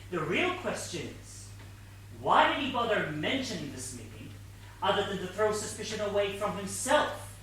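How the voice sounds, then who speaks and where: An actor playing an earnest, pedantic detective sidekick in a comic stage play, explaining things with precise, patient logic. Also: male voice, sits high, bright, forceful tone, heavily acted. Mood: pedantic reasoning. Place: theatre stage, mic far off. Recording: distant, echoey theatre stage recording, faint hiss.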